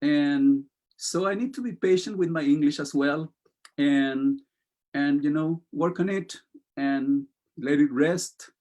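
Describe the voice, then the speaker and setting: A man with a Spanish accent, a poet and interview guest, speaking slowly and hesitantly with many pauses and fillers, and reading poems aloud. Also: a little high. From Chile. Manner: slow, hesitant, many fillers, soft-spoken.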